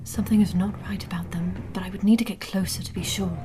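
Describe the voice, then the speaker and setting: A woman's voice: medium pitch, steady tone, fast talker, music in the background, lightly acted. An actress playing a cautious, observant young woman spellcaster in a fantasy audio drama, speaking earnestly. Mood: hushed, uneasy.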